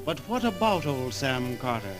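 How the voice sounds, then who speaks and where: A man's voice: medium pitch, very animated, moderate pace. A man announcing an old-time radio serial in a folksy, dramatic style. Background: loud music.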